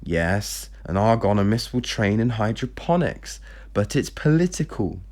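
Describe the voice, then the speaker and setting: A man voicing a stern, evasive older president and father in a story, firm, measured and dismissive. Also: on the low side, sing-song, a little mellow.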